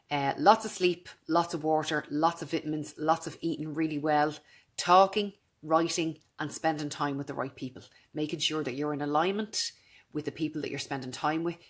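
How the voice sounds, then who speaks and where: A woman in her thirties with a naturally low voice, talking earnestly and directly to her camera in a vlog. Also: slightly rough. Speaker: vlogger. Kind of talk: casual talk to camera.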